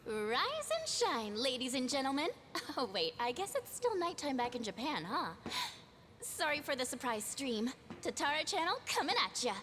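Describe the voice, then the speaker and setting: High-pitched, perky young woman livestreamer. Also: very animated, moderate pace, pushed and bright, a little hiss or hum, exaggerated voice. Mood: perky, taunting. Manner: perky, theatrical, mock-innocent. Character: cheeky young female livestreamer, theatrical and taunting. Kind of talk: livestream host acting in a video game cutscene. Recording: music behind the voice.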